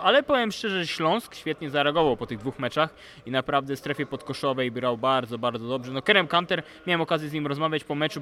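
A man's voice: a little high, fairly bright tone. A man co-hosting a sports podcast, giving talkative, casual analysis that leans on repetition for emphasis. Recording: clearly noisy background ambience.

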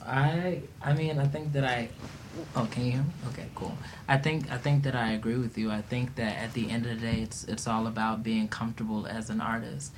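A man's voice: mid-range voice, fairly even intonation, fairly quick, low-quality recording. A man speaking earnestly and reflectively as a guest in a casual panel discussion, with noise behind him.

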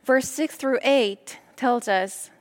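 A middle-aged woman pastor from Korea, whose first language is Korean, preaching a sermon in slow, deliberate phrases with pauses. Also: on the high side.

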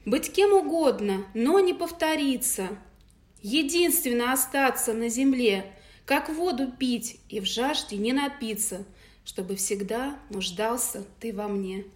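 Young woman, high voice, gentle, soft-spoken, guest poet. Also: animated, unhurried. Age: young adult. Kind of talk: casual chat and poetry reading.

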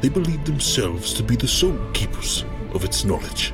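An actor playing a commanding demon lord in an audio drama, with a grave, measured delivery. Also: male voice, medium pitch, big pitch swings, over music, lightly acted. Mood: grave, solemn. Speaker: narrator and villain in an audio drama.

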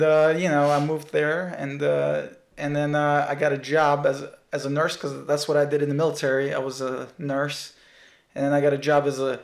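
A man's voice: on the high side, echoey room. A man in his thirties from Russia with a Russian accent, co-hosting a casual podcast and rambling and teasing with lots of restarts. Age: 30s. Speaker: podcast co-host.

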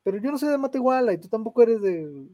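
A man's voice: extremely high pitch, lively intonation, quick pace, warm tone, no echo. A young Mexican man with a Mexican Spanish accent, ranting in casual, slang-heavy podcast chat and swearing freely. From Mexico, San Luis Potosí. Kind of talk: casual chat, film rant.

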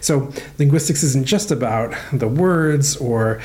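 A male professor answers questions at a steady, everyday pitch. He explains carefully, pausing with "um" and "uh" as he thinks aloud. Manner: thoughtful, many "um" and "uh" while explaining. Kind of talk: relaxed explanatory interview answers.

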